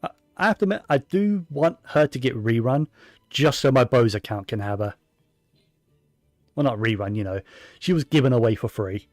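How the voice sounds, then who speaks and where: A man's voice: medium pitch, animated, moderate pace, long pauses, a little mellow, dry recording. Guy chatting over his game, rambly and easygoing, dry close mic, faint music behind. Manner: chatty, rambling, with fillers and restarts. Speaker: gaming streamer. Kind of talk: casual gameplay commentary.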